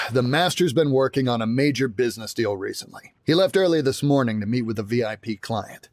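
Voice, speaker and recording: A man's voice: medium pitch, lively intonation, brisk, no echo, natural voice. An actor playing a sturdy male gate guard in a video game, formal and officious but easily cowed. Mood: apologetic. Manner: formal, stammering when cowed. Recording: faint music behind the voice.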